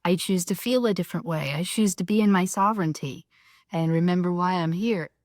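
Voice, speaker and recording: A woman's voice: fairly low pitch, brisk, dry recording, studio quality. A woman co-hosting a spiritual talk show, encouraging and affirming listeners. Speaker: co-host.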